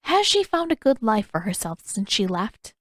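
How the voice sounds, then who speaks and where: A woman voicing a gentle, wistful elderly female healer in an audiobook, with measured, formal, reflective phrasing. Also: medium pitch, sing-song, dry recording, clean studio sound. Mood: gentle, thoughtful. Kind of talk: audiobook character dialogue.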